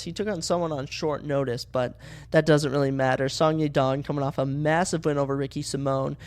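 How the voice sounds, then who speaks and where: A young man with a slightly higher voice hosts his own sports podcast, talking loosely and rambling with lots of fillers. His nose is stuffed up from a cold, which gives every line a blocked, congested sound. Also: darkish tone. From Minnesota. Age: young adult. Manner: rambling, talkative, many fillers and restarts. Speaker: podcast host. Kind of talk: casual solo sports podcast.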